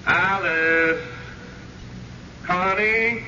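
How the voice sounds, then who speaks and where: A man's voice: high voice, very slow, pushed and bright, exaggerated voice. An actor playing a miserable, pleading male ghost in an old-time radio drama, calling out plaintively. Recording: muffled, noisy vintage radio recording.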